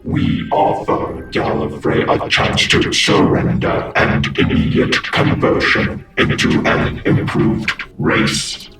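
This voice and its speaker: A man's voice: very high voice, monotone, slow pace, few pauses. A man playing a cold, arrogant robotic cyber commander in a sci-fi audio drama, menacing and formal through a robotic filter. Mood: cold menace. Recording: echoey distant filtered sound under music.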